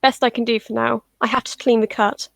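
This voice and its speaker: A woman acts a brisk, firm teenage girl healer in an audio drama. The voice is lightly high with clear creak, commanding and matter-of-fact, in a very dry recording. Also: flat delivery, a bit bright, dry recording.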